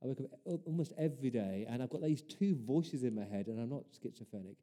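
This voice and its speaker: A man's voice: mid-range voice, animated, fast talker, mellow. A man preaching a sermon as pastor, earnest, building his points through repeated rhetorical questions.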